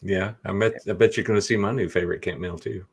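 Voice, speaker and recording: A man's voice: deep voice, steady tone, fast talker, creaky, slightly rough. A man chatting casually as a co-host of a podcast. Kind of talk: casual chat.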